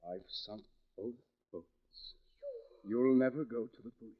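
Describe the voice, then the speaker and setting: An actor playing an intense, accusing man with deliberate, insistent menace in a 1940s radio mystery, on a dull vintage recording. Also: mid-range voice, natural voice. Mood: menacing.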